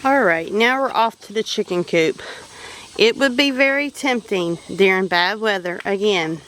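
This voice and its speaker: A woman with a Southern American accent talks plainly through practical farm tips in a middle-pitched voice. She walks around her homestead outdoors, recorded on a handheld camera. Clear noise sits behind her voice. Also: a little slow, fairly bright tone, rough recording. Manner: plain, instructive, conversational. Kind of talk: walking tour with practical advice.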